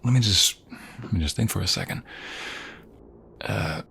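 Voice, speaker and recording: Man acting as a husky, breathy, tender mobster lover. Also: on the low side, animated, bright, forceful tone, exaggerated voice. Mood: playful, helpful. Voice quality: husky, breathy, often dropping to whisper. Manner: affectionate, teasing, slow and murmuring. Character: protective, tender mobster fiancé soothing his beloved. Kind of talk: intimate ASMR roleplay acting.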